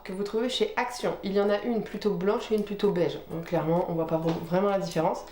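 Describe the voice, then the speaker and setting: A woman's voice: medium pitch, a little mellow. A woman with a head cold chatting informally through a casual makeup tutorial as a beauty vlogger, talking while she works. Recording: roomy echo with music behind.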